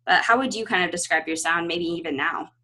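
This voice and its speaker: Upbeat woman interviewer, slightly low voice. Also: fairly quick. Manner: warm, encouraging, enthusiastic. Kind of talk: casual interview.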